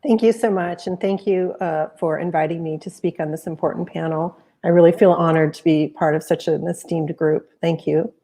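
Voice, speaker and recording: Low, mellow-voiced American woman. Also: fairly even intonation. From United States. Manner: measured, explanatory, occasional fillers. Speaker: panel guest, software professional. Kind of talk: panel discussion remarks.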